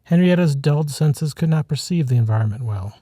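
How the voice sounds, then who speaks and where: A man reading a short story as scripted narration, in a measured, dramatic manner. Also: on the high side, animated, mellow, dry recording, clean studio sound. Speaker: author reading his own short story.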